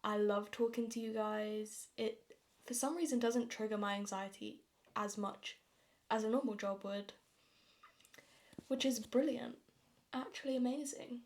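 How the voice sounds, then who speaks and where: Teen girl w a southern english accent, kinda high voice, chatty casual vlog talk, bit self-deprecating. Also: fairly even intonation, a little slow, long pauses, darkish tone. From London, England. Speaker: vlogger. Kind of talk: casual get-ready-with-me chat to viewers.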